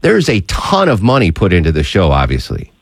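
Brief: male radio host, enthusiastic, rambling, lots of restarts, very lively, dry studio sound. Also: low voice, brisk, dry recording. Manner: eager, rambling, many fillers and restarts.

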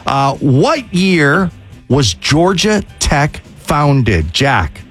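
A man hosting a radio trivia game, briskly repeating the answers and keeping score. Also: a little high, very animated, husky, faint music behind. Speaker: radio host.

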